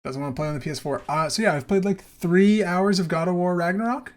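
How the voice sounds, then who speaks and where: A man's voice: high voice, quick pace. A man hosting a gaming podcast in a casual, conversational style. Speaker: podcast host.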